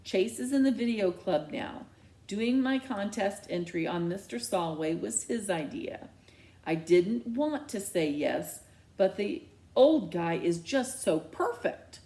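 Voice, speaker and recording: A woman's voice: mid-range voice, expressive, slow, warm tone. A woman voicing a defensive, pleading teenage daughter in a story, earnest and stubborn as she protests.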